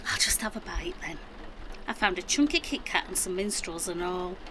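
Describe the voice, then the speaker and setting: A woman's voice: on the low side, expressive, normal speed, a bit bright, slight background noise. A middle-aged woman playing a defensive, practical mum in an audio drama, with a Yorkshire accent and matter-of-fact delivery. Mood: coaxing. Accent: Yorkshire English. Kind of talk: naturalistic audio drama acting.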